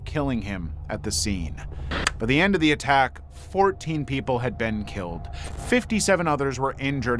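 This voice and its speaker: A man reading scripted true-crime documentary narration in a measured, serious manner. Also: medium pitch, a little hiss or hum.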